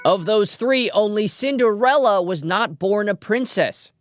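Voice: mid-range voice, a little slow. A trivia show host presenting a scripted quiz in a playful way, with wry asides. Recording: music behind the voice.

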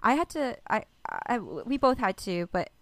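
A woman's voice: fairly high pitch, sing-song, moderate pace, no room sound. A woman co-hosting a podcast in casual chat, talking with lots of fillers. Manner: chatty, laughs often, many fillers. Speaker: podcast co-host, author.

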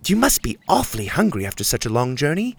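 A man voicing a kind, motherly hen in a soft, pleasant, higher voice. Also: wildly swooping pitch, fast, creaky, slightly rough, heavily acted.

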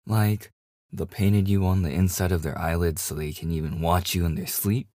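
A man's voice: low voice, steady tone, normal speed, mellow, dry recording, studio quality. A man playing a devoted, slightly obsessive boyfriend in an intimate ASMR audio roleplay, with a hesitant, stammering manner. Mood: incredulous. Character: devoted, nervously joking boyfriend hiding obsessive yandere streak. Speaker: voice actor.

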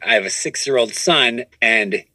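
A man's voice: mid-range voice, normal speed, sharp tone, dry recording. A man chatting casually as a podcast guest, rambling and often restarting his sentences, recorded in a home studio. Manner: rambling, self-correcting with repeated false starts. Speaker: podcast guest, improv comedian and producer. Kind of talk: casual interview chat.

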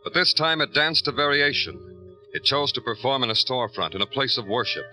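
An actor playing a world-weary New York detective, narrating in a deliberate, florid 1950s radio noir style. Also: male voice, mid-range voice, animated, poor audio. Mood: somber, poetic. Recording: old radio drama with loud music.

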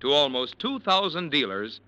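A man's voice: fairly high pitch, very animated, moderate pace, pushed and bright, smooth, muffled recording. A man announcing a sponsor's program in 1940s radio style.

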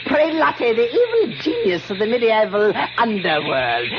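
An actor playing a mad, theatrical stage player with a grandiose, declamatory delivery in an old radio horror drama. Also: male voice, high-pitched, wildly swooping pitch, measured pace, over music, full character voice. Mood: grandiose, sinister. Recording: muffled, noisy old radio recording.

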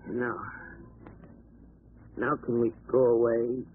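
An actor playing an addled, childlike wounded killer in a 1940s radio drama, halting, plaintive and slow-witted. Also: male voice, medium pitch, completely flat delivery, close to an everyday voice. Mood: pleading, dazed. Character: addled, childlike, wounded escaped-convict killer, devoted and pleading. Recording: crackly, narrow-band old radio broadcast.

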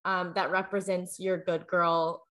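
Bright-voiced young woman hosting a podcast. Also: on the low side, flat delivery, a little slow, low-quality recording. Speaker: podcast host. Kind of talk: casual chat.

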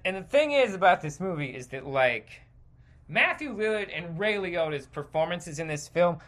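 A man hosting a podcast, delivering a casual, sweary, opinionated rant in a halting stream of consciousness, often repeating words for emphasis. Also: a little high, sharp tone. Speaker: podcast host.

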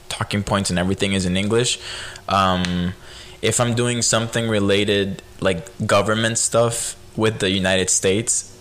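A young man hosting a podcast, a native Spanish speaker with a light Spanish accent, chatting in a relaxed, rambling way with lots of fillers. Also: fairly low pitch. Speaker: podcast host. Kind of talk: casual chat.

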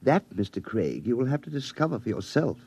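An actor playing a stern, cryptic military psych officer with formal speech, in 1950s radio drama style. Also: male voice, medium pitch, big pitch swings, a little fast, darkish tone, slightly rough. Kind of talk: 1950s science fiction radio acting. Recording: dull vintage radio recording.

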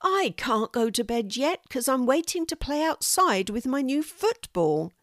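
A woman's voice: sits high, very animated, measured pace, no room sound, clean studio sound, voice pushed up. A woman voicing a grumpy little boy, whiny and pleading in a read-aloud story. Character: young boy, grumpy and cross about rain.

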